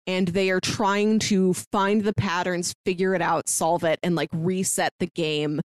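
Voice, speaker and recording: A woman co-hosting a podcast over a remote call, chatting casually and excitedly, with lots of "like" as she talks. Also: on the low side, unhurried, dry recording, studio quality. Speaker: podcast co-host. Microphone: remote call mic.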